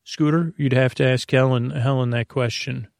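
A man voicing a polite, patient imaginary bunny sidekick on a bedtime podcast, explaining gently and hesitantly in a creaky voice. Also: medium pitch, steady tone, normal speed, no room sound, studio quality, natural voice.

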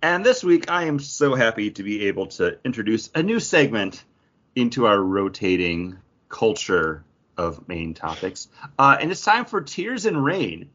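Man, podcast co-host, lively swinging intonation, medium pitch, casual chat, slightly dull lo-fi audio. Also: unhurried.

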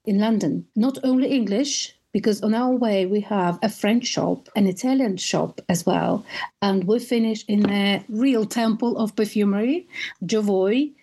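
A woman's voice: medium pitch, warm tone. A woman from Russia with a Russian accent, talking as a podcast guest about her perfume tours, telling stories with enthusiasm and an occasional restart. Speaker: guest, perfume tour guide. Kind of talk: conversational interview.

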